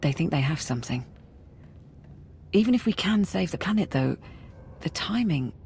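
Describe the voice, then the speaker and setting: A man's voice: a little high, animated, moderate pace, gravelly, a little mellow, slight background noise. A man voicing an earnest, worried technical adviser in a video game, explaining things carefully. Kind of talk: scripted video game acting.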